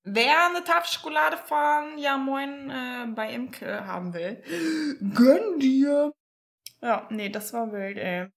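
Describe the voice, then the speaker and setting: A young woman co-hosting a casual podcast chat, loud and laughing, with frequent swearing. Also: high voice, expressive, slow pace, gravelly. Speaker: podcast co-host.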